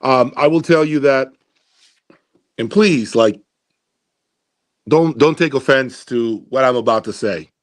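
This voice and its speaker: A man hosting an audio gear review, talking casually and emphatically to viewers, deliberate and repeating his points for emphasis. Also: medium pitch. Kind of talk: casual opinionated commentary to viewers.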